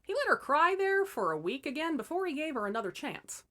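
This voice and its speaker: A woman's voice: high-pitched, sing-song, fairly quick, fairly bright tone. A woman hosting and narrating fairy tales as scripted comedy, with wry, irreverent asides. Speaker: host and storyteller.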